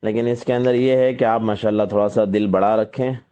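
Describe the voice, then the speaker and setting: A man on a phone call, politely and hesitantly giving gentle advice, with many pauses. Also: on the low side, steady tone, clear, resonant tone. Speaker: caller. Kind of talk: phone conversation. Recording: muffled lo-fi phone call recording.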